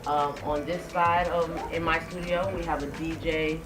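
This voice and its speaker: A young woman with a deep-set voice speaks conversationally to young students, encouraging and emphatic. She sits a little away from the microphone in a room, with music clearly audible behind her. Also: little pitch movement, normal speed. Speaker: radio host being interviewed. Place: indoors in a room. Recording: distant mic, music behind.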